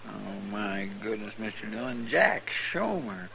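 Creaky, folksy western sidekick. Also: male voice, lowish voice, lively intonation, unhurried, pushed and bright, full character voice. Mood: polite, concerned. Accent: rural American. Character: folksy, deferential frontier sidekick to a marshal. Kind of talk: 1950s radio western acting. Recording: noisy old radio recording.

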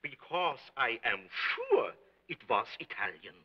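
A man playing an excitable, stubbornly certain middle-aged German restaurant owner in a 1930s film, with halting, emphatic delivery and a German accent. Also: medium pitch, breathy edge, full character voice. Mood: earnest, insistent. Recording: muffled old 1930s film soundtrack.

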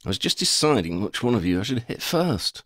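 A man's voice: on the low side, big pitch swings, a little fast, a bit bright, no room sound, clean studio sound, voice pushed up. A man voicing a brash, tough, playful young woman werewolf hunter in a flippant, cocky way for an audiobook. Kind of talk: audiobook character voice.

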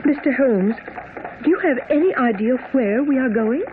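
A woman's voice: high-pitched, lively intonation, moderate pace. An actress playing a polite, anxious young lady seeking help in a radio drama. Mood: polite, uncertain. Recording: narrow old radio broadcast, faint noise.

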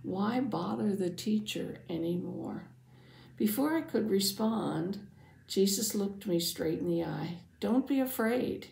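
A woman voicing a devout, anxious father who earnestly tells his Bible story, dramatically, with hushed phrase endings. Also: mid-range voice, animated, slow, vocal fry, full character voice. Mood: tense, hopeful. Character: devout anxious father, synagogue leader, telling his testimony. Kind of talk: first-person Bible storytelling for families. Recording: roomy, echoing sound.

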